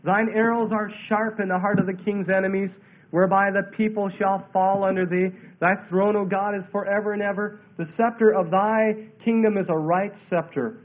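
Through a thin, narrow radio-like band comes a male preacher with a notably high voice. He sounds congested with a head cold. He expounds scripture verse by verse in an emphatic, declamatory way, repeating key phrases. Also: fairly even intonation, normal speed. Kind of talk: verse-by-verse Bible sermon.

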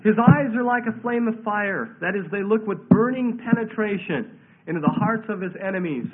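Congested, high-pitched male preacher. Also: moderate pace. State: congested with a head cold. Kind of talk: verse-by-verse Bible sermon. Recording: thin phone-like radio sound.

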